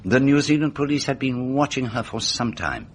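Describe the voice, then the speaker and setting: An actor playing a meticulous, self-assured detective in a radio mystery drama, setting out a case deliberately with rhetorical questions. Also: male voice, medium pitch, expressive, slight background noise, natural voice. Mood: confident.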